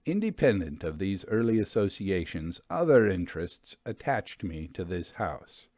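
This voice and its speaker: A man narrating literary stories in a measured, expressive and precise way, as a host reading aloud. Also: fairly low pitch, no echo, studio quality. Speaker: host and reader of ghost stories. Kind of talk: literary audiobook-style story narration with conversational asides.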